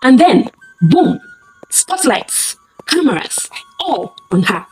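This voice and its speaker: A woman's voice: high-pitched, big pitch swings, takes it slowly, husky, rough recording. A woman telling a scripted dramatic story as narrator, theatrical and suspenseful, in short punchy phrases.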